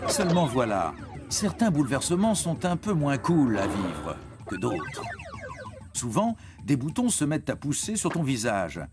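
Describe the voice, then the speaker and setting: Man, educational cartoon narrator, lively swooping intonation, playful direct address, prominent music. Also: medium pitch, measured pace.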